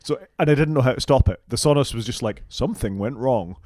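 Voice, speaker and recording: A British man co-hosting a casual tech podcast, going through a list of features and giving quick, opinionated takes. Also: medium pitch, a little mellow, dry recording, studio quality. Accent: British English. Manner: fast-talking, opinionated, goes down the list item by item. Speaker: podcast co-host.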